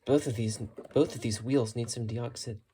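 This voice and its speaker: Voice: medium pitch, steady tone, moderate pace, dark tone, a little hiss or hum. A hobbyist vlogger giving a casual, unscripted show-and-tell in a matter-of-fact way, musing tentatively while talking. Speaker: hobbyist vlogger showing thrift-store radio finds.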